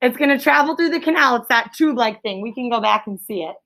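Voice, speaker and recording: High-voiced, creaky female schoolteacher. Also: animated, fast talker, fairly bright tone. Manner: encouraging, simple explanatory phrasing with leading questions. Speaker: schoolteacher leading a class. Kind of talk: interactive classroom lesson, questioning pupils.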